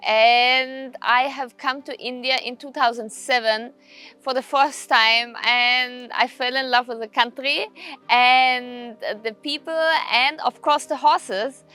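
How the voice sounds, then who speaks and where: A woman in her thirties from Austria with an Austrian accent, a riding instructor speaking in a measured way in a documentary interview. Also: high-pitched, fairly even intonation, pushed and bright, clean tone. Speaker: riding instructor and leadership coach.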